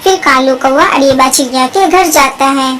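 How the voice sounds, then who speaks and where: A woman narrates a children's bird story in a high voice. The delivery is scripted storytelling, recorded with faint noise behind it. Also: moderate pace, fairly bright tone.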